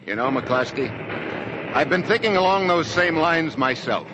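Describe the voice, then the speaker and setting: An actor playing a resolute, steady army major giving clipped, authoritative orders in 1940s radio drama style. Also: male voice, fairly high pitch, normal speed, dull audio, close to an everyday voice. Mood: grimly composed. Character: resolute, steady male military commander, a major. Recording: noisy 1942 radio broadcast recording.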